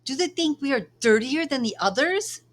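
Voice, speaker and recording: A woman's voice: high-pitched, animated, normal speed, pitched above the performer's own voice. A woman reading a children's book aloud as a proud, teasing, hot-tempered teenage girl. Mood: indignant.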